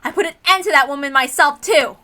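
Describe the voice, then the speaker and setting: A woman's voice: sits high, fast talker, bright, forceful tone. A woman voicing a blunt, passionate young heiress, defiant and emphatic, speaking in slangy lines as in a visual novel. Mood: anguished resolve.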